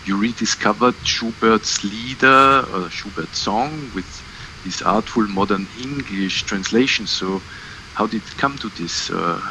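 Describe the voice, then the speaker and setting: Male interviewer, fairly low voice, crisp bright tone, hesitant detailed questions, faint noise. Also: measured pace, low-quality recording. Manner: asks long, detailed questions with some hesitation.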